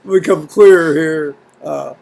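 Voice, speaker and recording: An American man video blogging at home in a halting, folksy, rambling way, muttering to himself and reading to viewers. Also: on the high side, gravelly, darkish tone. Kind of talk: rambling casual chat with scripture reading. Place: at home, indoors.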